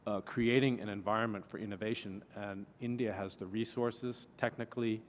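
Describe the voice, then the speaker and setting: Male witness, 40s, lowish voice, stammering restarts, faint background noise. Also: fairly even intonation, normal speed. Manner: repetitive stammering restarts, gesturing. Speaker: witness at a hearing. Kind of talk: testimony. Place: large wood-panelled hearing room. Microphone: desk microphone. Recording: long reverb of a large hearing room.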